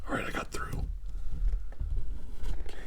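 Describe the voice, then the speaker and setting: A man playing a calm 1940s army doctor in an improvised ASMR role-play, muttering and often whispering reassurance. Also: unhurried, natural voice. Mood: hushed, curious. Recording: noisy recording with clicks.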